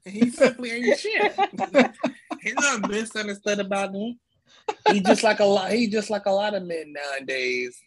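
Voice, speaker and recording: A woman's voice: mid-range voice, very animated, normal speed. A woman in a casual chat, talking in a blunt, sassy, colloquial way.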